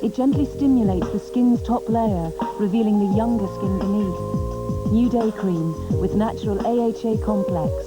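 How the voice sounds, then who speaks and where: Woman, medium pitch, scripted beauty commercial voice-over, loud music bed. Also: normal speed, rough recording. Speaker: advert voice-over. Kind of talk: scripted skincare commercial narration.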